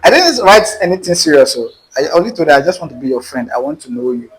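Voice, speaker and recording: A man's voice: a little high, fast, breathy edge, rough recording. A man with a Nigerian accent, a guest animatedly telling a personal dating story and acting out the dialogue. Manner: animated, acts out quoted dialogue. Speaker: interview guest. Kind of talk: casual storytelling.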